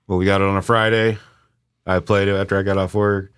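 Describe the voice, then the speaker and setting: A man's voice: deep voice, flat delivery, fast talker, fairly bright tone, clear, resonant tone. A man co-hosting a video game podcast, chatting casually in a terse, matter-of-fact way with offhand swearing. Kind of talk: casual chat about video games.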